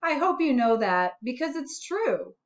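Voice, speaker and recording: A woman principal speaking to young pupils in a deliberate, simple storybook read-aloud manner. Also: high-pitched, very animated, dull audio. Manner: addresses young children, simple deliberate phrasing.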